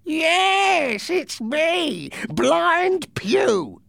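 A man voicing a sinister, commanding, ugly blind beggar in a high, creaky, strained voice for a storybook. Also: sing-song, a bit bright, no room sound, studio quality, exaggerated voice. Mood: harsh, commanding. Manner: slow, drawn-out commands.

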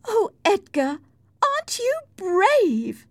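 A woman voicing a passionate, angry young married woman with theatrical, shrill outbursts in an audiobook story. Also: huge pitch swings, measured pace, darkish tone, slightly rough, dry recording, exaggerated voice. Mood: sarcastic. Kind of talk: audiobook character acting.

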